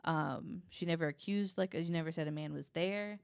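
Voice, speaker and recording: A woman recounting true crime cases in a conversational, halting and informal way, hedging as she works from notes. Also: low-pitched, vocal fry, clean tone, no room sound, studio quality. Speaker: podcast guest.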